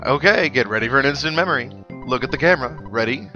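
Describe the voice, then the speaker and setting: A man voicing an excitable, boastful photographer from a video game, in a loud, exclamatory showman's delivery. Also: medium pitch, quick pace, muffled recording, soft background music. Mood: excited, boastful. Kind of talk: video game character voice-over.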